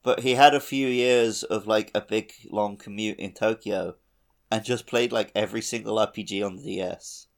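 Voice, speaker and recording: A man's voice: on the low side, fairly even intonation, normal speed, gravelly. A man hosting a casual gaming podcast, chatting informally with others. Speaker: podcast host.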